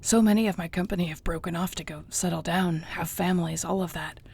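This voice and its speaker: A woman playing an affectionate orc warrior girlfriend in an intimate audio roleplay, speaking softly and tenderly with a husky voice. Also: on the low side, fairly even intonation. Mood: warm, hopeful. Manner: soft, tender, unhurried, often near a whisper.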